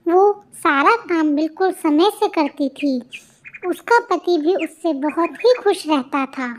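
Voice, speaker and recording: A woman's voice: extremely high pitch, expressive, slow pace, a little hiss or hum. A woman storyteller reading scripted narration for a children's story.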